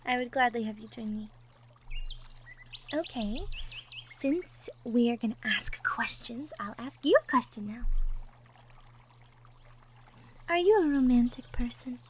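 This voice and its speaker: A woman playing a gentle, caring young girlfriend from the woods in a soft, intimate romantic ASMR roleplay, with tender murmurs. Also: a little high. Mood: warm, curious. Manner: soft, tender, breathy murmurs with light giggles. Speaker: audio roleplay performer. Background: birdsong and outdoor nature ambience effects.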